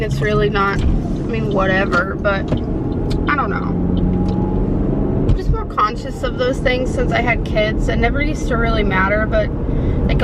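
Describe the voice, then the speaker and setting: A woman's voice: fairly high pitch, very noisy. A young woman vlogging casually to her camera, rambling with lots of fillers and sounding congested from a sinus infection. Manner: rambling, many fillers like "like" and "I don't know". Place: in a car, then at home.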